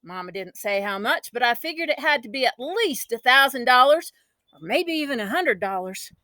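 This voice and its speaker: A woman acts out a spirited, boastful country child narrating a storybook. The voice is fairly high and bright, with folksy, dramatic emphasis, and it sounds dry and close. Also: lively intonation, moderate pace, dry recording. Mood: excited, indignant.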